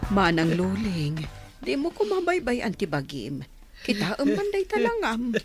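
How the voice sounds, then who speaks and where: An actress with an Ilocano accent playing a caring, outspoken older-sister figure in a radio drama. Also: female voice, on the high side, huge pitch swings, measured pace, faint music behind, heavily acted. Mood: consoling.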